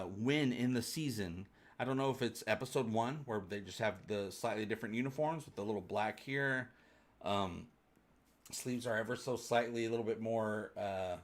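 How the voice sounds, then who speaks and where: Casual, rambling man hosting a livestream. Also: medium pitch. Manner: rambling, jokey, colloquial. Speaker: host of a fan livestream. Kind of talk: casual chat, answering live viewer comments.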